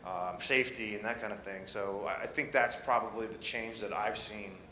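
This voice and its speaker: A man's voice: fairly low pitch, fairly even intonation, normal speed, pushed and bright, reverberant, a little hiss or hum, poor audio. A man answering questions on a conference panel, speaking with many fillers and restarts.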